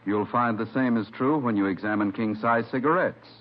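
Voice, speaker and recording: A man reading a 1950s radio commercial as an announcer, with a measured, polished sales delivery. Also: medium pitch. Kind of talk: 1950s radio cigarette ad read. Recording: dull vintage radio recording.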